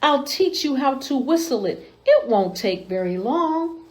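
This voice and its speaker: A helpful storybook blackbird, voiced by a woman. It is friendly and encouraging, high in pitch with a musical lilt. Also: sing-song, a little slow. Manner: lilting, encouraging.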